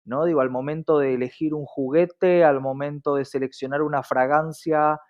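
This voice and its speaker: A man's voice: a little high, steady tone, moderate pace. A man with a Latin American Spanish accent explaining his views as an interview guest, often adding short check-in tags, on a muffled recording. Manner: frequent rhetorical check-in tags. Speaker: diversity consultant, interview guest. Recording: dull, lo-fi sound.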